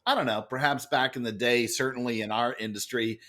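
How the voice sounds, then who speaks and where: A middle-aged man with a loud voice, an author and speaker telling a story as a podcast guest, animated and expressive. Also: brisk. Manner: expressive, acts out quoted lines with big jumps in pitch.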